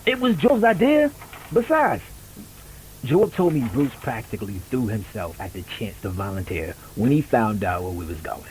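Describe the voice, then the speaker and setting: Man acting casual male high school senior, hugely varied pitch, conversational audio drama, faint noise. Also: very animated, normal speed, darkish tone, poor audio. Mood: casual, offhand. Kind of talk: amateur audio drama dialogue.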